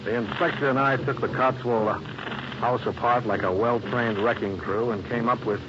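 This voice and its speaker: A man's voice: medium pitch, fairly even intonation, normal speed, natural voice. An actor playing a tough, dry-witted investigator in a 1950s radio drama, narrating his case in terse, wry, hard-boiled style. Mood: grim narration. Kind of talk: 1950s radio drama acting and first-person detective narration. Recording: muffled old radio recording, loud music.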